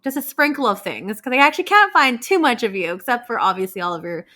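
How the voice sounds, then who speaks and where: A woman's voice: fairly high pitch, big pitch swings, fast, bright, forceful tone. A young woman hosting a podcast interview, chatty and bubbly, laughing often as she talks. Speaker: podcast host. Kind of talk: casual interview chat.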